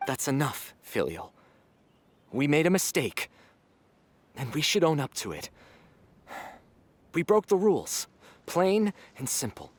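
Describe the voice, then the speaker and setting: A man voicing a contrite, resigned young man in a video game, solemn, halting and sincere. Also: on the high side, huge pitch swings, breathy edge, heavily acted.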